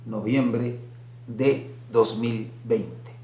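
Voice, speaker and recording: A man with a Mexican accent speaking as a formal, deliberate radio drama announcer, reading from a script. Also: medium pitch, steady tone, warm tone. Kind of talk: scripted credits and interview questions. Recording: roomy, echoing sound.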